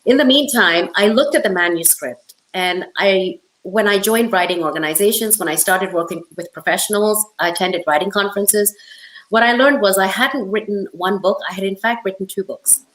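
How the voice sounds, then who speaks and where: A woman's voice: mid-range voice, normal speed, fairly bright tone. A middle-aged woman from Hong Kong, an author talking as an interview guest in an emphatic, conversational storytelling way. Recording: roomy, echoey sound.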